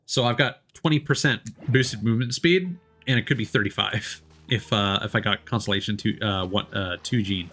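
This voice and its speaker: A man's voice: fairly low pitch, moderate pace, hardly any pauses, pushed and bright, soft background music. A man giving casual, informal, sweary live commentary as a game streamer.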